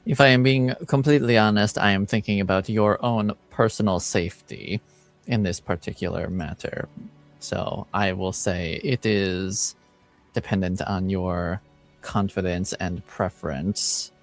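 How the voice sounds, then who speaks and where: Fantasy RPG noble father, played by a man: measured, formal, protective, unhurried, lowish voice. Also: fairly even intonation, faint music behind. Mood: courteous, reassuring. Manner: formal, measured, courteous wording. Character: formal, caring noble uncle and worried father. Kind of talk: in-character tabletop roleplay.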